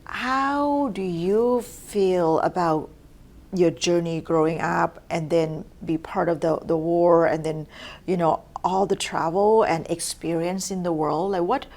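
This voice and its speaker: A woman hosting an interview, upbeat and asking quick follow-up questions. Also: on the low side, darkish tone. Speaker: talk show host. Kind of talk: friendly interview.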